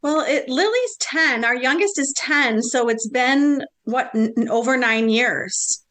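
A woman with a high-pitched voice speaks as a guest on a casual podcast. She is expressive and thoughtful, and she exclaims readily as she reflects aloud. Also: unhurried. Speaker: podcast guest, blogger and mother.

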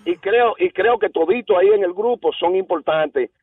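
A man in his sixties calls in over a thin, far-off phone line, his voice high and husky. He grew up among Puerto Ricans in New York and talks like them, rambling earnestly and restarting often. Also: moderate pace, dry recording. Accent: Puerto Rican Spanish from New York. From Dominican Republic. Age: 60s. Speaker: caller. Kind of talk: casual phone call to a radio show. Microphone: telephone. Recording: tinny phone line, muffled and distant.

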